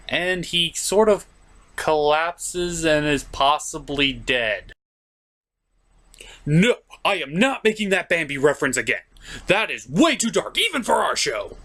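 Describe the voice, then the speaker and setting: A man's voice: fairly high pitch, a bit bright. A man hosting a comedic cartoon review, recapping the plot in a sarcastic, mock-dramatic and profane manner. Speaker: cartoon reviewer, show host.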